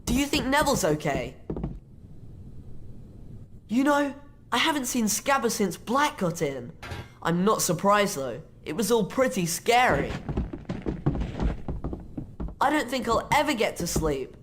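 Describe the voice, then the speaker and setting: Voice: medium pitch, very animated, measured pace, pauses often. An actor playing an uneasy young pupil at a wizard school in a video game. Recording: noisy background with clicks.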